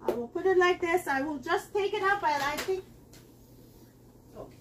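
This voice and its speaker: A middle-aged woman hosting a live cooking demonstration in her home kitchen, talking to viewers in a chatty, excitable way. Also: very high voice, normal speed, distant mic, a little hiss or hum. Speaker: host selling kitchenware. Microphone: camera across the counter.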